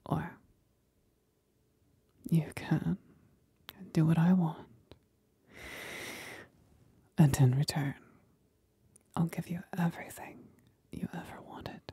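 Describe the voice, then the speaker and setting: Seductive demon for ASMR roleplay, played by a woman: husky breathy whisper, creaky low edge, manipulative and mocking. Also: steady tone, mellow, no room sound, exaggerated voice. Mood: coaxing, menacing. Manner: slow, breathy whispering with deliberate pauses.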